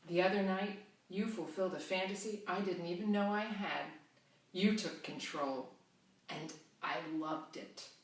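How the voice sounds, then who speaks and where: A woman reading as a coy, hushed young lover. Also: fairly low pitch, unhurried, natural voice. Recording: roomy, distant.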